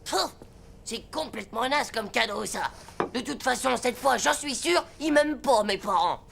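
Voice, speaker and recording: A woman playing an excitable, sulky little schoolboy in an animated series, with a slangy, grumbling, dramatic delivery. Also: on the high side, normal speed, gravelly, music in the background. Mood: indignant, sulky.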